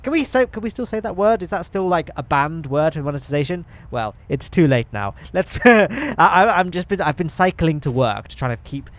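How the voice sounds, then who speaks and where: A man's voice: a little high, very animated, moderate pace, few pauses. An English man with a nasal, congested voice, rambling through casual gameplay commentary with lots of fillers and self-deprecating asides. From England. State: congested from hay fever. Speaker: gaming channel host.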